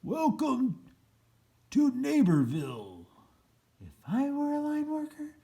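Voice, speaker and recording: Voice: medium pitch, warm tone. A storyteller reading a children's picture book aloud in a theatrical, playful way.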